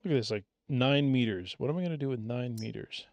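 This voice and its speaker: Man with a gravelly voice, thinking aloud. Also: mid-range voice, fast talker, no echo. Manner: thinks aloud, relaxed and rambling. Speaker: gaming streamer. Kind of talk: casual gameplay commentary.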